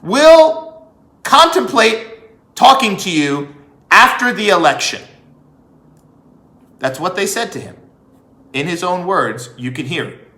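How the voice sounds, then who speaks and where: A man's voice: high voice, big pitch swings, takes it slowly, pauses often, sharp tone. A man delivering an opinionated political monologue as a commentator, emphatic, repeating phrases and asking rhetorical questions. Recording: echoey room.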